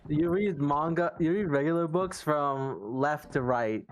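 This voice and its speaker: A man's voice: fairly high pitch, a little slow. A man joining a game stream, bantering casually and tossing out teasing jokes. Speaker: friend in the stream chat.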